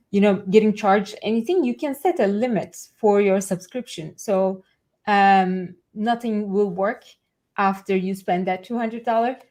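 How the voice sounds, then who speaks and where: A woman's voice: mid-range voice, darkish tone. A woman in her thirties co-hosts a casual live stream from a room at home, with a friendly manner. She laughs often while chatting over the video call. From Turkey. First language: Turkish. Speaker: co-host.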